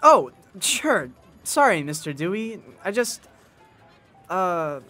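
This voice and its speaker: A woman's voice: fairly low pitch, sing-song, slow, fairly bright tone, soft background music, full character voice. A woman playing an earnest, polite young bellboy in a 1920s-style radio drama, speaking in a hesitant, eager, deferential way. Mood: eager, shy.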